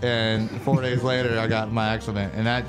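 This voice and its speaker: Loose cussing dude co-hosting, beats playing loud behind him. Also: male voice, lowish voice, little pitch movement, fairly quick, music in the background. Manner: profane, joking, loose. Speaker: podcast co-host. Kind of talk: casual chat, freestyle rapping.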